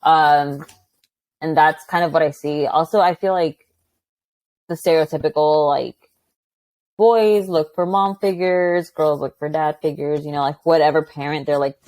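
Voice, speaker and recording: Mulher de voz grave, falante e enfática. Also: female voice, deep voice, unhurried, dry recording. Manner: loud, chatty, animated, many "like" fillers. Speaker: guest, high school teacher. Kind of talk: casual conversational interview.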